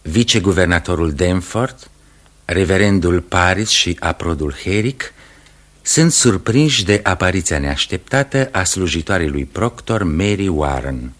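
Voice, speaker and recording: A man with a very low voice narrates a radio drama. He sets the scene in scripted prose. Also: expressive, fairly bright tone.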